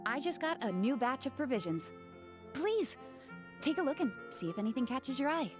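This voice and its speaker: A woman's voice: high-pitched, sing-song, normal speed, over music. A woman playing an earnest, polite young knight in a video game, eager and a little dreamy. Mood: helpful. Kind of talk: animated game character acting.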